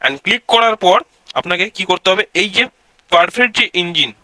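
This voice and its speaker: A man's voice: high voice, expressive, fairly quick, sharp tone, dry recording. A man hosts a casual step-by-step tech tutorial, addressing viewers directly and repeating his instructions.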